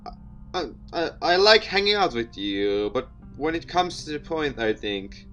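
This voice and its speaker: A man voicing a troubled, prickly young man in a visual novel, halting and defensive as he speaks. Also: a little high, very animated, a bit bright, dull audio, soft background music. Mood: flustered, apologetic. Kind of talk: visual novel dialogue read aloud.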